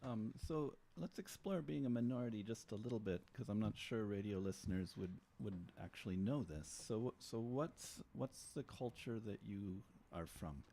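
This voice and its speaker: Middle aged guy hosting a radio chat, lowish mellow voice, stops and restarts his questions. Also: moderate pace, gravelly, hardly any pauses. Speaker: radio host. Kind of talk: interview questions. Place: radio studio. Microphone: boom mic with headphones.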